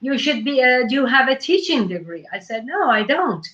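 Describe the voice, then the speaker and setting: A woman hosts the conversation, talking slowly and thoughtfully with frequent fillers. Her voice is fairly high and bright. The audio is dull and lo-fi, like a video call. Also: sing-song. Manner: slow, thoughtful, with many fillers. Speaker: host. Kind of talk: casual conversation.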